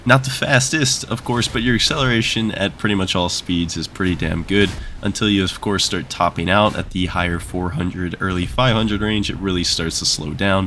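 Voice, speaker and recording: A man's voice: low voice, a bit bright, a little hiss or hum. A young man with a nasal, congested voice from a cold, giving casual gameplay commentary that explains things and wanders into asides. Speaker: gaming YouTuber.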